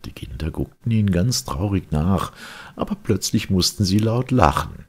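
Very deep, gravelly storytelling man. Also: expressive, moderate pace. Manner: theatrical, dramatic storytelling. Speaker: audiobook narrator. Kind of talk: expressive children's fairy-tale reading.

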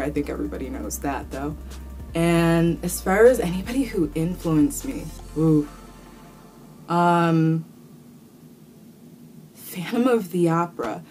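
A woman's voice: sits low, darkish tone. A young woman vlogger answering viewer questions to camera in a small room, chatty and rambling, cursing casually with lots of fillers. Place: small indoor room, plain wall.

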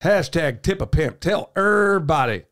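A man, hosting a podcast. He sounds a bit hoarse and talks in a folksy, rambling way. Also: on the high side, animated, moderate pace, fairly bright tone. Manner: folksy and talkative, says y'all, gestures a lot. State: hoarse, losing his voice. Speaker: podcast host. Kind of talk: casual podcast chat. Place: small podcast studio, indoors. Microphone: studio mic on boom arm, headphones on.

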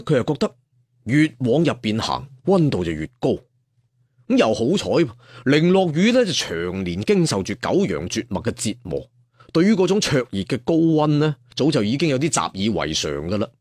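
A man's voice: mid-range voice, no echo, clean studio sound. A man telling a dramatic story in a colloquial, traditional storyteller's manner, putting questions to his listeners. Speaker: audiobook storyteller.

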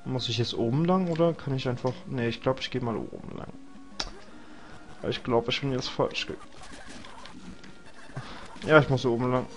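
A man's voice: medium pitch, steady tone, normal speed, long pauses, faint music behind. A young man with a cold, casually rambling and joking to himself while commentating a video game. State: has a cold. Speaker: let's play host.

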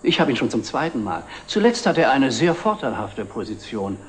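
A middle-aged actor playing a wry, wistful antique dealer, formal and dry, in a 1960s TV drama. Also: male voice, medium pitch, sing-song, normal speed, close to an everyday voice. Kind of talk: 1960s German television drama acting. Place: indoor antique shop set. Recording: noisy old TV film soundtrack.

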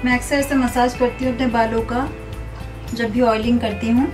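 A young woman calmly walks through a hair care routine step by step, speaking like a beauty vlogger in a small room. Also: fairly high pitch, moderate pace, distant mic, over music, poor audio. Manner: calm, instructive, steady. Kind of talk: step-by-step tutorial.

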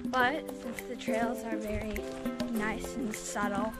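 Young woman, bright upbeat selfie vlogger, higher voice, music under. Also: steady tone, takes it slowly. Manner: upbeat, chirpy, giggly. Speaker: vlogger's wife. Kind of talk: casual family vlog. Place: outdoors in a pine forest. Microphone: camera in selfie mode at arm's length.

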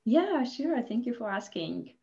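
A Russian-accented woman in her thirties speaks slowly and haltingly, often restarting her sentences. She talks from a home office over a webcam, and the sound comes through dull and lo-fi. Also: a little high, big pitch swings, vocal fry, warm tone. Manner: halting, frequent restarts and laughs. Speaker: guest, former Olympic skier. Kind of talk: casual interview storytelling.

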